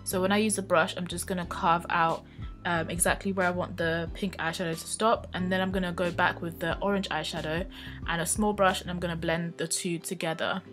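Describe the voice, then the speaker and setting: Casual woman, lower voice, croaky morning voice-over of a makeup look, faint music under it. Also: fairly quick. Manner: relaxed, chatty, with many ums and likes. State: croaky, just woken up. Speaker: beauty vlogger.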